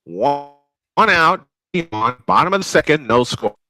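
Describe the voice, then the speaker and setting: A man's voice: fairly high pitch, very animated, measured pace, sharp tone, no echo, studio quality. Folksy man calling baseball play-by-play. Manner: folksy, old-school broadcaster cadence. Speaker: play-by-play announcer.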